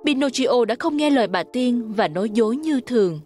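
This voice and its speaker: High-pitched woman storyteller over music. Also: expressive, fairly quick, darkish tone. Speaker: narrator. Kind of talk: scripted children's fairy tale narration.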